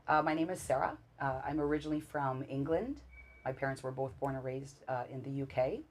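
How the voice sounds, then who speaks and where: A woman's voice: extremely low pitch, brisk. A woman in her forties from Canada, a guest telling stories eagerly in a casual interview. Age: 40s. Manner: warm, enthusiastic storytelling with many fillers.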